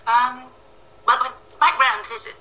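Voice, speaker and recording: Bright, sharp-voiced woman on a distant mic. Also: sits high, steady tone, slow. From Wales. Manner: self-deprecating. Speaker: author being interviewed. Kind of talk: casual interview answers. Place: home kitchen, indoors. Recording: echoey kitchen, distant tinny call sound.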